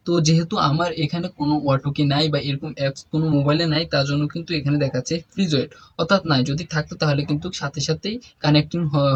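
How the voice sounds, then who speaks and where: A man's voice: on the high side, husky, muffled recording. A man hosting a step-by-step app tutorial, addressing viewers directly in an instructional, repetitive way. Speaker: tech tutorial host. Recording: faint background noise.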